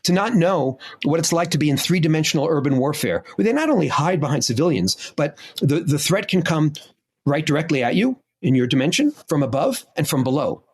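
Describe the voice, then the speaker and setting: A man's voice: a little high, lively intonation, a little fast, breathy edge. A middle-aged man, a historian, answering an interview question in an emphatic, impassioned way. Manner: emphatic, impassioned, lists points. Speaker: historian guest.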